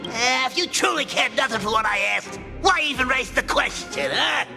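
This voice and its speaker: A man's voice: very high voice, animated, normal speed, creaky, in a large hall, over music, full character voice. An actor playing a flamboyant, scheming male gang boss in a game, laughing and theatrical. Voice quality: theatrical, laughing, sing-song. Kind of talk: game voice acting.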